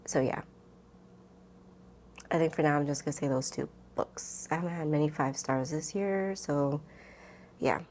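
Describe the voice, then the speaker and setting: Casting: woman with a lowish voice for casual book vlogging; loose, rambling, self-interrupting delivery. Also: a little mellow. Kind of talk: casual chat to camera, book tag.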